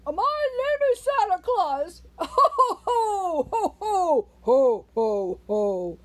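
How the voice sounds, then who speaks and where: A woman's voice: very high-pitched, very animated, drawn-out words, heavily acted. A woman playing a jolly old Santa Claus for children, with hearty ho-ho-ho laughing and exclamations. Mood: jolly, booming. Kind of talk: playful children's pretend play.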